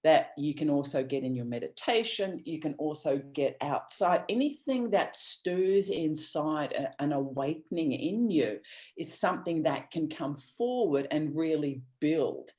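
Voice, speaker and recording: A woman's voice: sits low, lively intonation, a little slow, darkish tone. A woman from Australia, giving an earnest, rambling motivational talk with many restarts over a video call. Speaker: guest speaker.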